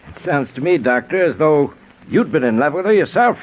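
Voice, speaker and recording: An actor in a 1940s radio drama playing a blunt, exasperated middle-aged lawyer, insistent and indignant. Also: male voice, on the high side, sing-song, fairly quick. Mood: blunt, incredulous. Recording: muffled 1940s radio recording.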